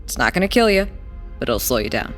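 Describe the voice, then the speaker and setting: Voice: mid-range voice, very animated, fast. An actor playing a guarded, defiant young smuggler gang leader in an audio drama, speaking in a wary, terse, casual way. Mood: weary recounting. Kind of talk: audio drama acting and first-person narration. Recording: loud music behind the voice. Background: loud music.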